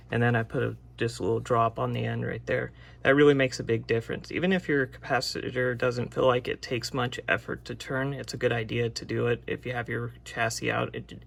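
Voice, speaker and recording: Gravelly man narrating a hands-on repair. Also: mid-range voice. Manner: plain-spoken, unscripted step-by-step explaining. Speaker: radio restorer presenting a repair vlog. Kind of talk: casual hands-on tutorial narration.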